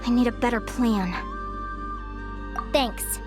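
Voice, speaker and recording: A woman's voice: fairly high pitch, slow. An actress playing an earnest, friendly young woman competitor, speaking over game music. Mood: determined. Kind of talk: game voice acting.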